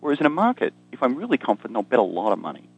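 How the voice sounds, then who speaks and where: A man's voice: medium pitch, huge pitch swings, racing, gravelly, darkish tone, husky. An Australian man, an economist guest, explaining ideas in conversation with dry humor and frequent ums. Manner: dry self-deprecating humor, many ums and restarts.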